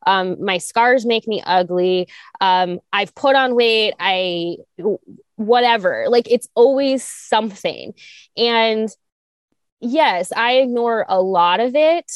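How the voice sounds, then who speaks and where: A woman co-hosting a podcast speaks earnestly and with emphasis, with a touch of vocal fry in her voice. Her pitch sits in the middle for a woman. The sound is close and dry, with no room around it. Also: dry recording. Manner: earnest, emphatic, speeds up and slows down. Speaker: podcast co-host. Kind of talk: candid podcast conversation.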